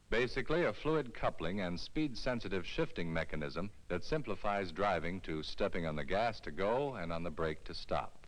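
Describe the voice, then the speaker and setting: A man reading a scripted instructional film narration in a clear, measured, explanatory way, as on a 1970s educational film soundtrack. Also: lowish voice, low-quality recording.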